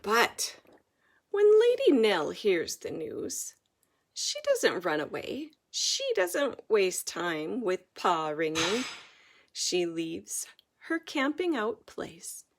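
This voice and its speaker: A woman reading a children's story aloud in an animated, dramatic storytelling manner. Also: mid-range voice, takes it slowly, creaky. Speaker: storybook reader.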